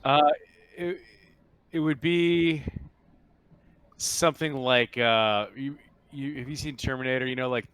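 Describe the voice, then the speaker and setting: A man narrating a tabletop game casually as game master, explaining things in a halting, conversational way. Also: mid-range voice, a bit bright, clear, resonant tone, no room sound.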